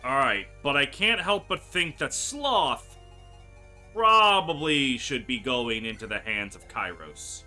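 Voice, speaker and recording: A man commentates a game playthrough in a very bright, forceful voice. His pitch swings widely as he exclaims and stretches words for emphasis. Game music plays quietly behind him. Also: lively intonation, slow pace. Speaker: gaming video host. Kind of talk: playthrough commentary, reading game dialogue aloud.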